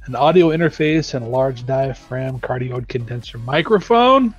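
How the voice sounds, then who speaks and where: A man's voice: mid-range voice, animated, normal speed, breathy edge, lo-fi sound. A man giving informal advice as an audio lead on a live stream, stressing points by repeating words.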